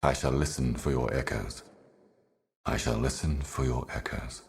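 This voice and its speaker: An ancient otherworldly herald of doom with an extremely low male voice. The delivery is solemn and measured, like formal oratory. It is a theatrical fantasy video game monologue, cryptic and portentous. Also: steady tone, vocal fry, breathy edge, full character voice. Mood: solemn foreboding.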